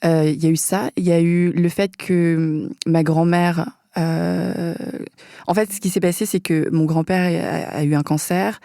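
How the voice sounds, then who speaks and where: A woman with a warm, mellow voice talks as a guest in a relaxed podcast conversation. She gropes for words and pauses often with fillers. The sound is dry and close-miked. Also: lowish voice, dry recording. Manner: hesitant, searching for words with frequent fillers. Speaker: guest filmmaker. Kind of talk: casual conversation.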